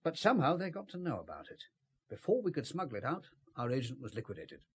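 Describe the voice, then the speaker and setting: An actor playing a cagey, ruthless British spymaster in an audio drama, with formal, understated speech and a husky voice. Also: male voice, mid-range voice, huge pitch swings, fast talker, exaggerated voice. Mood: measured, guarded.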